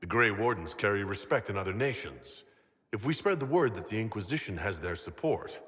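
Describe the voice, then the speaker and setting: An actor playing a resolute leader in a fantasy video game, speaking firmly and formally in measured phrases. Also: male voice, lowish voice, lively intonation, fairly bright tone, slightly rough. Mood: businesslike. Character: commanding, resolute young leader of a holy war effort.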